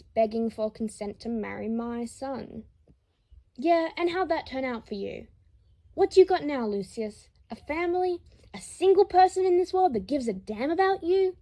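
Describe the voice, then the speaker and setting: A woman's voice: high voice, warm tone, pitched above the performer's own voice, full character voice. A woman reading a dramatised story as a hot-tempered, protective father, blunt and combative. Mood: scornful. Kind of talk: dramatised audiobook reading of fan fiction.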